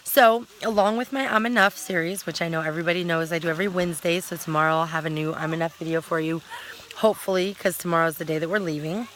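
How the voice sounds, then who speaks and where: A woman's voice: lowish voice, steady tone, fast talker, a bit bright, a little hiss or hum, rough recording. A woman in her thirties talking casually in a vlog, rambling and correcting herself as she goes, recorded outdoors at a campsite. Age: 30s. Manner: rambling, talkative, self-correcting. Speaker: vlogger.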